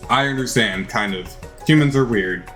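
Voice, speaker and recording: A man voicing an earnest alien robot classmate in a game, making solemn, halting declarations. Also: mid-range voice, sharp tone, close to an everyday voice. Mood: resigned. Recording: music behind the voice.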